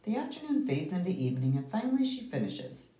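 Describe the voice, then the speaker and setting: A woman reading a picture book aloud to children, with deliberate, emphatic phrasing and dramatic pauses. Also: lowish voice, big pitch swings, mellow. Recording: roomy, echoey, distant microphone.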